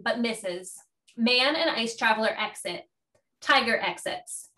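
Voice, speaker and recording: A woman narrates stage directions from a script. Her voice is crisp-edged and somewhat high, and she states each action plainly. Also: sharp tone, poor audio. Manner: plain, declarative.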